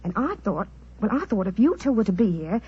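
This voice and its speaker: A woman's voice: mid-range voice, big pitch swings, fairly quick, breathy edge. A woman playing a breezy, frank chorus girl turned island queen, chatty and candid, in 1940s radio style. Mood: coy, hopeful. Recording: dull vintage 1940s radio recording.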